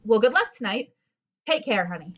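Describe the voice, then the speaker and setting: An actress plays a self-assured, gossipy housewife in a comic audio play. Her voice sits very high and swoops expressively as she holds forth pompously. The sound is a little dull and lo-fi. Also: sing-song, moderate pace. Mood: friendly, probing. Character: bossy, know-it-all gossipy housewife friend, amateur psychologist. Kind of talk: comedic audio drama acting.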